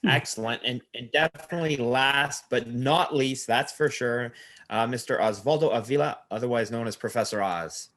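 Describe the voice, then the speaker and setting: A man's voice: medium pitch, moderate pace, fairly bright tone. A man, a program coordinator, emceeing the session with upbeat introductions. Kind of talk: emceeing online info session.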